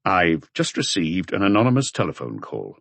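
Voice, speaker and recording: Maigret, a measured low-voiced detective played by a man. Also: wildly swooping pitch, creaky, dry recording, full character voice. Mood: measured, hesitant. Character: Maigret, patient, serious middle-aged male police detective.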